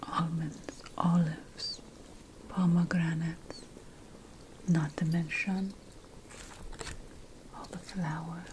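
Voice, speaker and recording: A woman playing an ancient witch priestess, a patient and lofty potion scholar, in a hushed, deliberate ASMR fantasy roleplay. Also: fairly low pitch, level tone, noisy background. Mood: hushed, instructive. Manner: hushed, deliberate, lingering over lists.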